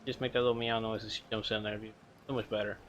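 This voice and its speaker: Low-voiced, laid-back male streamer. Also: racing, a little hiss or hum. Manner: relaxed, mumbling asides, frequent chuckles. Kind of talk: casual gameplay commentary.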